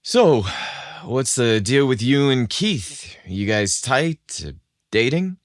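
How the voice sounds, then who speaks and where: A man's voice: medium pitch, animated, slow, no room sound, studio quality, pitched above the performer's own voice. A man voicing a shy, nervous, smitten young man in a romance story. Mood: nervous, tentative.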